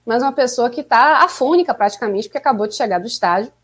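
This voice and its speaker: A woman's voice: a little high, expressive, quick pace, breathy edge. A woman co-hosting a casual live podcast stream, chatty and upbeat as she urges viewers to like and subscribe. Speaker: podcast co-host.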